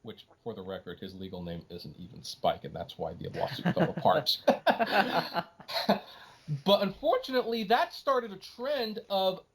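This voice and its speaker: A man's voice: high voice, very animated, unhurried, slight background noise. Emphatic man talking on a podcast. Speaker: podcast host. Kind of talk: opinionated casual podcast discussion.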